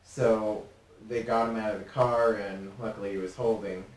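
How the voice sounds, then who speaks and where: Young guy guest telling stories, lowish voice, kinda halting, echoey studio, mic a bit far off. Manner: relaxed, halting, many fillers. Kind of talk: casual interview answers. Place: TV studio set. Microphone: desk mic from a distance. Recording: roomy studio sound, mic at a distance, faint hiss.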